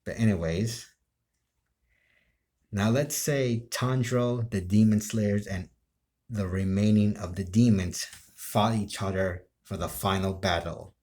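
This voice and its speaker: Low-voiced man chatting casually. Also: fairly even intonation, a little slow, darkish tone. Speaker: amateur role-play video creator. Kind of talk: casual chat about his role play.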